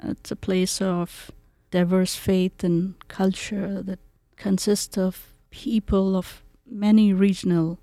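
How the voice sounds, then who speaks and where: Slow gravelly woman. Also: medium pitch, level tone, mellow, dry recording. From India. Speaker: guest, community advisor. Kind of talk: conversational interview.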